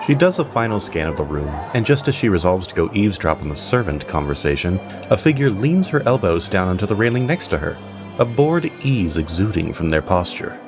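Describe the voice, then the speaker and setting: Deep guy narrating a fantasy audio drama, measured and theatrical, music underneath. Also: very animated. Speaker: storyteller, narrator.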